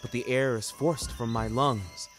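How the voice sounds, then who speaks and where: A man's voice: mid-range voice, steady tone, a little slow, a bit bright, soft background music. A young man reading scripted first-person fiction, carefully phrased, in a literary and dramatic manner. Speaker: narrator and author of a fiction podcast.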